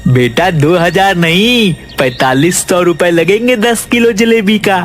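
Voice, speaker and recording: A man's voice: high-pitched, a little slow, a bit bright, soft background music. A man voicing a greedy, stubborn village sweet-seller and father in a cartoon, brusque and argumentative. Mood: brusque, dismissive. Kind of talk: Hindi cartoon moral-story voice acting.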